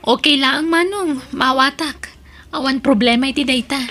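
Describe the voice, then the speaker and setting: High-voiced young student daughter. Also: female voice, normal speed, bright, forceful tone, a little hiss or hum. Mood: accepting, hopeful. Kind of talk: radio drama acting.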